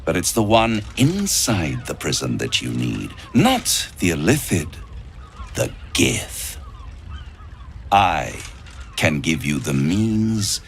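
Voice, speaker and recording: An actor playing a suave, scheming, grandiose devil in a video game, with silky, theatrical delivery. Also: male voice, extremely low pitch, huge pitch swings, creaky, a little hiss or hum, full character voice. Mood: persuasive. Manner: theatrical, silky, drawn-out delivery with dramatic swoops. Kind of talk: dramatic video game acting.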